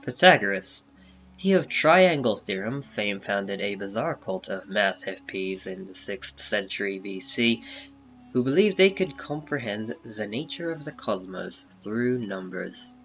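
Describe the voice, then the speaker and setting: Male narrator, dramatic comic reading, lively pitch, measured pace, emphatic, music underneath. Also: highs cut off. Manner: theatrical, emphatic phrasing. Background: background music.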